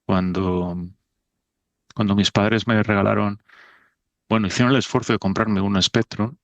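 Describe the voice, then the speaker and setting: A man's voice: fairly low pitch, steady tone, unhurried, a bit bright, dry recording. A middle-aged man from Spain, a podcast guest talking in a thoughtful, self-deprecating way. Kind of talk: casual conversation.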